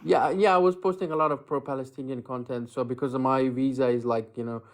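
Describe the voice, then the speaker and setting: Halting young man from Afghanistan. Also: mid-range voice, steady tone. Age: 20s. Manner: hesitant, many fillers and restarts. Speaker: livestream guest. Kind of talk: casual chat. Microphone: audio only, camera off.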